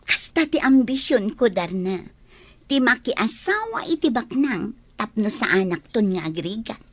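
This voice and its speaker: A woman's voice: a little high, big pitch swings, a little slow. An actress playing a vain, haughty young woman with an Ilocano accent in a radio drama, proud and snappish. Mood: dreamy, boastful. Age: young adult. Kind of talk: Filipino radio drama acting.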